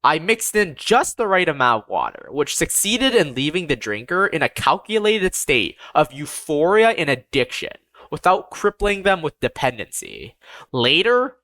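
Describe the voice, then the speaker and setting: Audiobook narrator, androgynous mid-range voice, animated intonation, deliberate scripted fantasy storytelling, very dry close sound. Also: medium pitch, no room sound.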